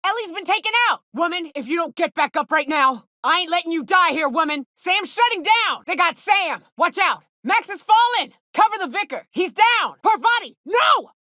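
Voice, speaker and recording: An actress playing a gruff, hard-drinking, gun-toting female spaceship crew companion in a video game, with a blunt, folksy manner. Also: very high-pitched, expressive, moderate pace, few pauses, bright, forceful tone, no room sound, clean studio sound, exaggerated voice. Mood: alarmed, shouting. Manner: blunt, folksy, sardonic, swearing. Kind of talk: video game character dialogue.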